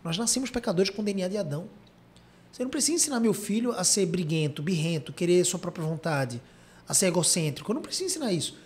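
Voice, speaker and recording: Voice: medium pitch, animated, a little hiss or hum. A podcast host with a Brazilian accent, telling a long example at length and asking rhetorical questions. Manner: rapid storytelling, rhetorical questions. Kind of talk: podcast discussion.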